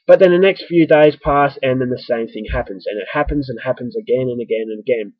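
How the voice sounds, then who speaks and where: A man's voice: mid-range voice, quick pace, slightly rough, no room sound, highs cut off. An Australian man with a stuffy, coming-down-with-the-flu voice, chatting casually to his viewers in a rambling, self-mocking vlog. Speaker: vlogger. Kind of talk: casual vlog talking to camera.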